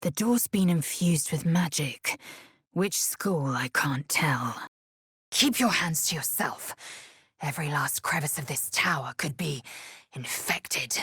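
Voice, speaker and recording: Video game role: proud, scornful female alien warrior. Low, raspy voice, curt commands, measured delivery. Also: lively intonation, fairly bright tone, dry recording, clean studio sound, full character voice. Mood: terse, commanding. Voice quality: husky, raspy. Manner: imperious, clipped, deliberate. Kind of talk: video game character voice lines.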